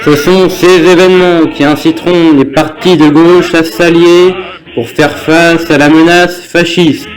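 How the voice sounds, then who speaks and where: A man's voice: on the high side, flat delivery, a little slow, rough recording. A young man reads a scripted newsreel narration in a formal manner. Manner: formal, reading aloud. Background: music.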